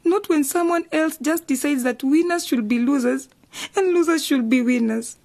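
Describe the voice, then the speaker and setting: A woman's voice: sits high, normal speed, a little mellow. An actress playing a passionate, easily upset schoolgirl daughter in a radio drama, with an emotional, plaintive way of talking. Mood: hurt.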